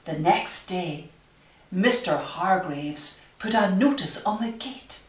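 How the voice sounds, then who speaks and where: In an echoey room, a little away from the microphone, a woman reads a children's story aloud. She takes her time and leans dramatically on key phrases, with pitch that swings widely. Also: expressive, slow. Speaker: storyteller reading a picture book to children. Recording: roomy echo, distant microphone.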